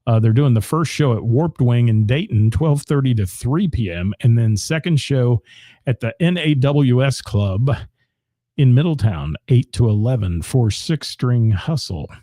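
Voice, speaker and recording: A man's voice: mid-range voice, expressive, measured pace, dark tone, no room sound, clean studio sound. A man, hosting a live show and rattling off local gig listings with asides to the chat. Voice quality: gravelly voice. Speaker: radio host.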